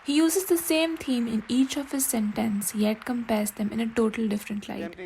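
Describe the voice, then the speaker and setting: A woman's voice: on the high side, normal speed, a crowd in the background, poor audio. Young woman reading an essay. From India. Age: young adult. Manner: steady reading of a written essay. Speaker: student. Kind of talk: read media critique.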